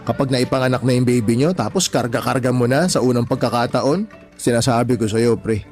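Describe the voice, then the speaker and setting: A man's voice: mid-range voice, natural voice. An actor playing a talkative friend and father of four, giving advice in a radio drama. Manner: animated storytelling, advice-giving. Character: chatty older friend, teasing and advising. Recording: faint music bed behind voice.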